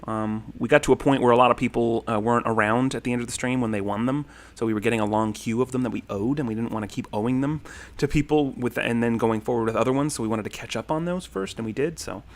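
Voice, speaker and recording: A man's voice: medium pitch, fast, few pauses. Rambling man in his thirties, livestream chat. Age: 30s. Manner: rambling, friendly, many ums and thank-yous. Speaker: livestream host. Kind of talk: casual livestream chat reading comments.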